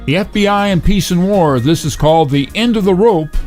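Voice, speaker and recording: A man introduces a podcast as its host, reading from a script. His voice is on the higher side, and light music plays behind him. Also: fairly quick, a little mellow.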